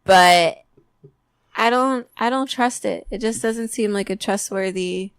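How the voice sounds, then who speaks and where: A woman's voice: medium pitch, unhurried, smooth, no echo, studio quality. A young woman in casual podcast banter who speaks hesitantly, sounds skeptical and repeats her doubts.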